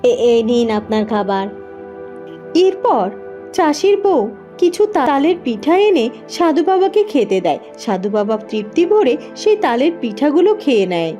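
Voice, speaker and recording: A woman's voice: sits high, big pitch swings, normal speed, dark tone, faint music behind. A woman narrating a children's fairy tale as a scripted storyteller.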